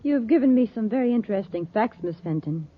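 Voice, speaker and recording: High-voiced polite young lady. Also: lively intonation, quick pace. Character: polite young female agent posing as reporter. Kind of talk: 1950s radio serial acting. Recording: tinny, phone-like old radio recording.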